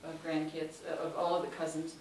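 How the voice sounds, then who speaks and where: A woman with a Chicago accent tells personal stories to a panel audience, pausing often on um and uh. Her voice sits a little low. It comes through a very echoey hall from a distant mic, with clear background noise. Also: monotone. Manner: warm and anecdotal, many ums and uhs. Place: large hall.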